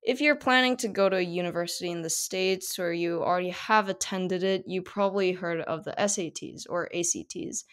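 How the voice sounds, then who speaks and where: A woman's voice: lowish voice, brisk, few pauses, fairly bright tone. Teenage woman hosting a podcast. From South Korea. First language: Korean. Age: teens. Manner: chatty, many fillers. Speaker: podcast host. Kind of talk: casual solo podcast monologue.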